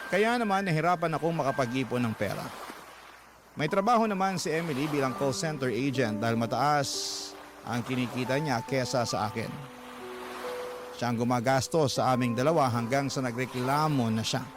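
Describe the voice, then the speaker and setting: A man's voice: medium pitch, moderate pace, close to an everyday voice. An actor playing a troubled young Filipino man who tells his life story as radio drama narration. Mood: reflective. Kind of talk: first-person scripted narration. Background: background music.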